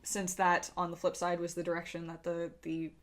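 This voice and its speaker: A woman's voice: on the low side, completely flat delivery, normal speed. A woman narrating as game master of a tabletop game, improvising in a casual, conversational way.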